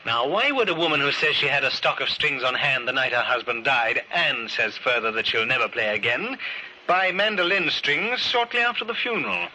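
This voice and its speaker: An actor in an old radio drama playing a shrewd, courteous police inspector who questions in a measured, drily sceptical way. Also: male voice, medium pitch, expressive, low-quality recording. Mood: dryly sceptical. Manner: measured, probing, dry understatement. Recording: hissy, sharp old radio recording.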